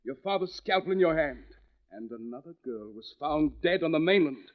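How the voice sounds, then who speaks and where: Radio mystery role: intense accusing male played by a man, fairly high voice, deliberate theatrical delivery, muffled vintage sound. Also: lively intonation, lightly acted. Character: intense, accusing man with cold, insistent menace. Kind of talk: 1940s radio mystery acting. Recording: dull vintage 1940s radio recording.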